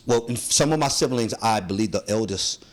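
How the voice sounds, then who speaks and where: A man from San Francisco telling his life story as an interview guest, in a heartfelt, slangy way with many pauses and ums. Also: lowish voice. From San Francisco, USA. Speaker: guest, community activist. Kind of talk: relaxed storytelling conversation.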